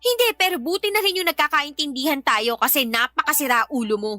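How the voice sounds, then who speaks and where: A woman's voice: high-pitched, animated, fast talker, pushed and bright, no echo, faint music behind. An actress playing an irritated, defiant young mother in a radio drama, sarcastic and scolding, with a Filipino accent. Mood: exasperated scolding.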